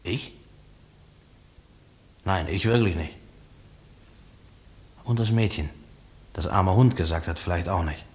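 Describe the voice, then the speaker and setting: A man's voice: sits low, slow pace, pauses often, a little mellow. An actor in a German radio play playing a destitute, jobless man turned prisoner, embittered and defiant. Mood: subdued, weary.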